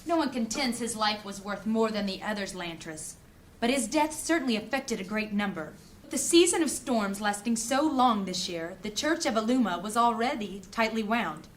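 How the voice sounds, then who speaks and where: A woman playing a shrewd, composed mage councillor in a fantasy audio drama, speaking formally and deliberately. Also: medium pitch, lively intonation, lightly acted. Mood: reasoned, firm.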